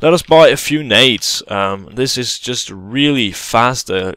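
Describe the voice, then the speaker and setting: A man talks through a game tutorial in a relaxed, halting way, often pausing and restarting. His voice is smooth and clear. The recording is dry and close, with no room sound. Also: medium pitch, no echo. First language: Danish. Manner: hesitant, many fillers and restarts, casual explaining. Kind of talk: gameplay tutorial voice-over.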